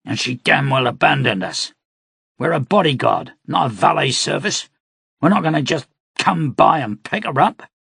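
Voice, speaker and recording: A male narrator voicing a hardened cavalry commander who speaks gruff and clipped. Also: mid-range voice, sing-song, normal speed, bright, forceful tone, no room sound, clean studio sound, pitched above the performer's own voice, full character voice.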